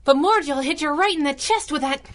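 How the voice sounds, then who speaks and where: An actress playing a plucky, loyal young sidekick with a wry, spirited manner in a 1930s-style superhero radio drama. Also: female voice, sits high, brisk, fairly bright tone.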